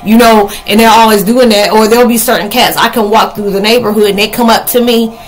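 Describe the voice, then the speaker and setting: A middle-aged American woman talking casually to camera in a rambling, conversational monologue with halting asides. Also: on the high side, fairly even intonation, rough recording. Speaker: spiritual vlogger. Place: living room, indoors.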